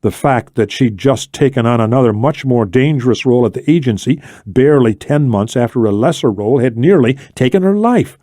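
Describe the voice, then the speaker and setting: A man narrating an audiobook of fiction with an expressive, dramatic delivery. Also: mid-range voice, a little fast, few pauses, no room sound, clean studio sound.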